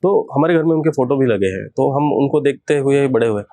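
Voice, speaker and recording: A man's voice: mid-range voice, lively intonation, a little fast, mellow, no echo. A man, earnestly telling his own story as an interview guest, mixing in English words. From rural Maharashtra, India. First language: Marathi. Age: 30s.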